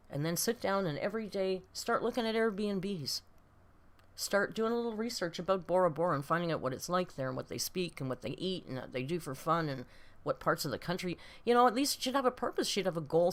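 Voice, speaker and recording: A woman in her late fifties hosting a casual live stream, chatting with viewers in a rambling, self-deprecating, blunt way with mild swearing. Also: fairly low pitch. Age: late 50s. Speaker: host of a reaction live stream.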